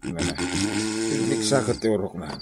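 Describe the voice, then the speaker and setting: An actor with an Ilocano accent playing a boisterous, bumbling married villager in a comedic radio drama. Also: male voice, lowish voice, moderate pace, darkish tone, lightly acted. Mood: sneaky, grumbling.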